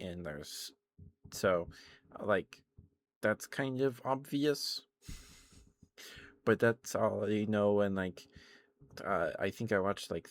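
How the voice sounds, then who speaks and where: Male ASMR streamer. Deep-pitched and slow, casual, gently rambling, halting delivery. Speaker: live streamer, ASMR host.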